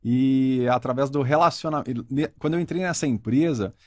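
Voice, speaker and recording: A man's voice: mid-range voice, moderate pace, a little mellow, no room sound. A man in his forties from Brazil tells his story as an interview guest, in a chatty and reflective way. His voice sits in a middle range. The recording is dry and close to the mic. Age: 40s. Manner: talkative, reflective, frequent "né?" tags. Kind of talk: casual interview conversation.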